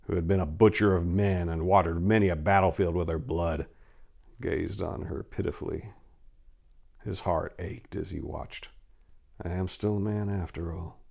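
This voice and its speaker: Male narrator, lowish pitch, grave theatrical reading of sword-and-sorcery fiction. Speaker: storyteller. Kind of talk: dramatic scripted fantasy narration.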